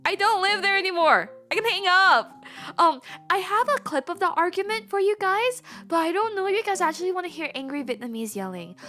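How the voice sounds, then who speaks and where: Bright, high-voiced woman telling a story. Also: expressive, soft background music. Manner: chatty, full of "like" fillers, acts out family quotes with slowed emphasis. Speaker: streamer. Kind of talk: casual storytelling on a livestream.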